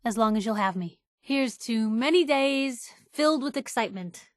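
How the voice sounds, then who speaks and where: An actress playing a blunt, caring young woman reporter in a video game, speaking in a casual, wry and emotionally expressive way. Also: a little high, moderate pace, no echo, studio quality, natural voice. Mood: warm, wry. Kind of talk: video game character dialogue.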